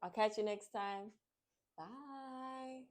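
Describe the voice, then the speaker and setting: A woman hosting a children's read-aloud, speaking in an expressive, excitable, friendly way to young viewers. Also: on the high side, extremely slow. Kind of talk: storybook narration and friendly chat to young viewers.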